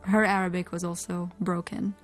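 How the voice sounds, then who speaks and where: A woman's voice: fairly low pitch, little pitch movement, moderate pace, soft background music. A woman from Oman co-hosting a podcast, speaking in an articulate, fluent, composed way. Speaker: podcast co-host. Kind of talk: conversational podcast discussion.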